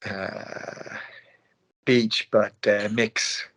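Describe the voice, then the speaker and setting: A man in his late twenties from Denmark speaks in a low, very even voice. He answers interview questions casually, with a laid-back, quiet manner, as a professional athlete would. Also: very slow. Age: late 20s. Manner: laid-back, not loud. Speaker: professional ice hockey player being interviewed.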